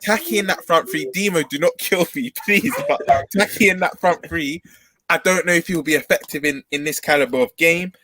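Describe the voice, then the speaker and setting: A man's voice: a little high, fairly quick, sharp tone, a little hiss or hum. A young man, talking football on a podcast, laying out his reasoning step by step. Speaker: podcast co-host. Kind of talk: casual football chat.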